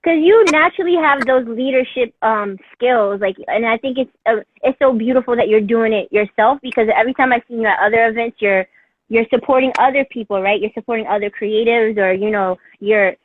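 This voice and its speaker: A woman in her thirties with a husky, slightly high voice, heaping encouragement on her guest in loose, filler-heavy chat. Her sound is thin and phone-like, very dry and close. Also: brisk, no echo. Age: 30s. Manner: effusive and encouraging, full of fillers. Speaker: interviewer. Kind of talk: casual chat.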